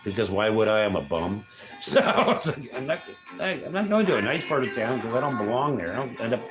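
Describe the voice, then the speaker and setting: A man's voice: mid-range voice, very animated, brisk, poor audio. A man from California, hosting his channel in a rambling, self-deprecating livestream chat with music behind him. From Los Angeles area, California. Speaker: channel host.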